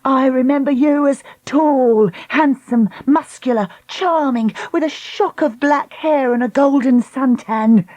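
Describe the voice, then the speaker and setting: A woman's voice: a little slow, hardly any pauses, dark tone, no echo, rough recording. A woman voicing a furious middle-aged mother who shrieks insults. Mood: scornful. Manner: shrill, screeching insults.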